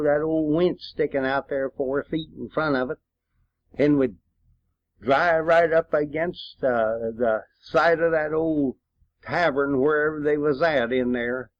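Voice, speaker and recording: Older man on muffled cassette, slow drawn-out talk. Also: fairly high pitch. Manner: folksy, halting, homespun. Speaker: war veteran storyteller. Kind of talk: rambling reminiscence, spoken storytelling. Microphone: old cassette recorder. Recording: muffled old home cassette recording.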